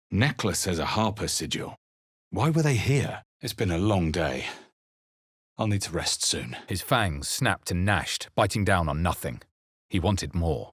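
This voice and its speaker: A male actor playing a grave, brooding adventurer in a fantasy video game, with husky, measured, dramatic delivery. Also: sits low, no room sound, clean studio sound, heavily acted. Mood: wary, dry. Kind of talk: scripted fantasy game narration, character lines and spell incantations.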